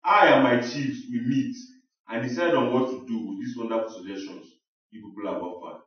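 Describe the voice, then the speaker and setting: An actor with a Nigerian accent playing a village king, a measured and formal leader reasoning with his people in a radio drama. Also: mid-range voice, close to an everyday voice. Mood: formal, decisive. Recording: dull, echoey, distant from mic.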